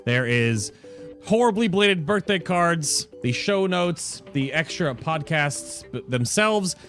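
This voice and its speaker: A man's voice: on the high side, animated, slow pace, fairly bright tone. A man hosting a casual gaming show in a chatty way, with joking asides and self-interruptions. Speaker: podcast and stream host. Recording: music playing behind the voice. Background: background music.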